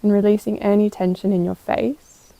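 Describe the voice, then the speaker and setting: A woman guiding a meditation as a yoga teacher, calm and gentle, giving unhurried instructions with pauses. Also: mid-range voice, vocal fry, no room sound, dull audio. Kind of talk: guided meditation.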